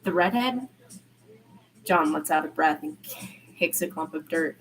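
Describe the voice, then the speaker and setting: A woman's voice: sits low, little pitch movement, normal speed, a bit bright, poor audio. A woman reading fiction aloud as an amateur narrator, sometimes stumbling and rereading phrases.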